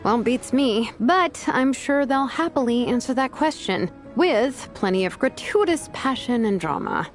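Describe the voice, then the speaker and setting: Breezy, animated young vice captain. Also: female voice, fairly high pitch, a little slow, few pauses, faint music behind. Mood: amused, casual. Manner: casual, wry, teasing. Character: laid-back young female vice captain, wry and breezy. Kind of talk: video game character dialogue.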